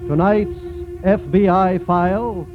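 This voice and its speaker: A man's voice: high-pitched, steady tone, slow. An American man making formal, declamatory announcements in a polished 1940s radio style. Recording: tinny 1940s radio broadcast, loud music.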